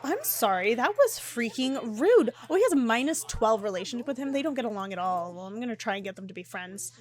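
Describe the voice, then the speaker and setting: A woman casually commenting on her gameplay, chatty and animated, with frequent ums and laughs, her voice slightly hoarse. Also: a little high, a little fast. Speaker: gaming YouTuber.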